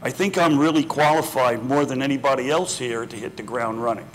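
A man's voice: mid-range voice, brisk, slight background noise. A man in his sixties, a city councilman running for office, steadily listing his credentials in a panel debate on a lapel mic in a community hall. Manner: lists credentials, steady, with uh fillers. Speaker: political candidate, city councilman. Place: community center hall with audience.

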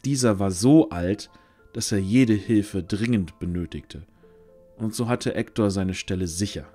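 Low-voiced male fantasy narrator. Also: measured pace, warm tone. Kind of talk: scripted fantasy audio drama narration. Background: music.

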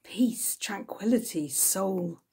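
A woman's voice: medium pitch, expressive, slow pace, warm tone, slightly rough. A woman reading a novel aloud, voicing an earnest, grateful man with a sincere manner. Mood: heartfelt. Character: Jasper, earnest, grateful male retreat guest.

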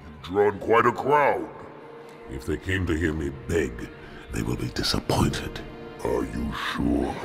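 A man's voice: a bass voice, lively intonation, slow, creaky, soft background music, heavily acted. A man voicing an imperious, menacing alien zealot in a video game, pompous and solemn. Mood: scornful. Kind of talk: video game cutscene acting.

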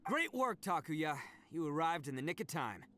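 An actor playing a cocky young oni gang boss in a video game, with a swaggering, casual manner. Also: male voice, on the high side, very animated, moderate pace, pushed and bright, faint music behind. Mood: brash, impatient.

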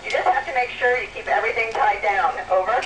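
A woman's voice: fairly high pitch, quick pace. Woman over a noisy two-way radio. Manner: plain, simple explanations, signing off with radio procedure. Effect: two-way radio transmission. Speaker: astronaut answering questions from space. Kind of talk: amateur radio question-and-answer. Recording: noisy amateur radio link, static. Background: radio noise.

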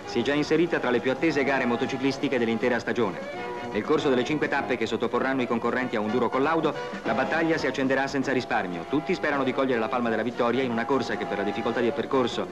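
A man giving brisk scripted newsreel commentary on a race. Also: medium pitch, music in the background. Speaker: sports newsreel narrator.

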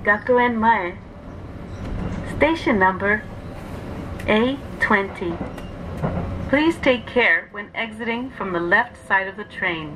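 Clear vehicle noise sits behind a woman on a train PA speaker. She reads a scripted announcement extremely slowly, with measured enunciation. Her pitch is medium. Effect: train PA loudspeaker. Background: train running noise.

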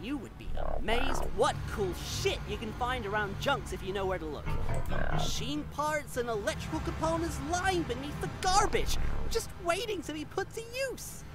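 A woman's voice: sits high, lively intonation, moderate pace, loud music behind the voice, pitched above the performer's own voice. A woman voicing a grumpy, brusque, sarcastic teenage boy tinkerer in a visual novel. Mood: proud.

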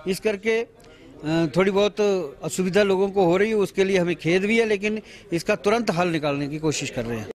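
A man in his fifties, a party official, giving a halting press statement to reporters with many pauses and restarts, speaking into a bundle of handheld mics outdoors at a bus station. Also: sits high. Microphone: bundle of handheld news mics.

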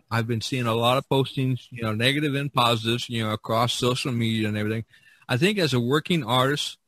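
A man's voice: mid-range voice, fairly bright tone. A man hosting a podcast, talking in a casual, rambling, conversational way. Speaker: podcast host.

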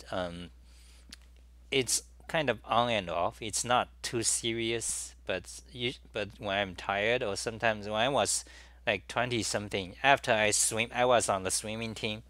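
A man's voice: on the low side, pushed and bright, dry recording. A middle-aged man, talking alone to camera in a meandering monologue full of "you know" and restarts. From Taiwan. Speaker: talk show host. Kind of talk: rambling solo monologue. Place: small room at a desk.

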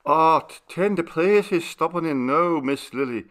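Garrulous old servant woman, played by a man, raised pitch. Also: a little slow. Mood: foreboding. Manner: gentle, foreboding prattle. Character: garrulous, superstitious old female servant, gentle and devoted.

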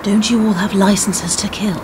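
A woman's voice: mid-range voice, steady tone, moderate pace, noisy background, lightly acted. A woman playing an earnest, inquisitive admirer with a questioning manner in a radio play. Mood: curious, playful. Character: eager, inquisitive female fan with a dull job. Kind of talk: radio drama dialogue.

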